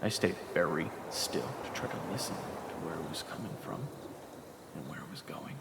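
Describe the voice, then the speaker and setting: A man's voice: low voice, expressive, normal speed, gravelly, very noisy. A man giving dramatic first-person scripted narration for an audio story, as its narrator. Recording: echoey long reverb, music behind. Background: background music.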